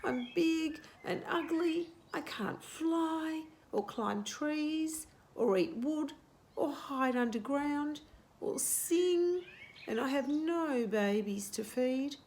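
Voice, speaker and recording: Woman voicing a glum, high-pitched cassowary. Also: expressive, takes it slowly, a little mellow, slight background noise, exaggerated voice. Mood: despairing, moaning.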